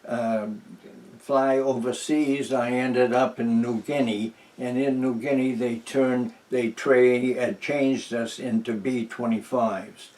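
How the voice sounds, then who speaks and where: A man in his nineties from New Hampshire, speaking very slowly and circling back through restarts, fillers and soft chuckles. He sits a little away from the microphone, so his voice sounds distant. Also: medium pitch, fairly even intonation. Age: 90s. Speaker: interviewee, veteran. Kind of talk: oral history interview. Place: at home.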